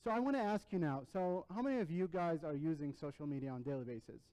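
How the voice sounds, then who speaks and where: Man presenting, mellow voice. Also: a little high, fast, low-quality recording. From Iraq. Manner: earnest, restarts words often. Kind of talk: capstone demo presentation.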